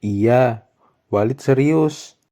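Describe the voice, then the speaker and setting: A man puts on a sweet-talking cartoon lover with a soft-edged, mellow tone. He woos slowly and deliberately, choosing formal, earnest words. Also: medium pitch. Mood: sweet, coaxing. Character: wooing male lover, sweet-talking, addressing himself by name.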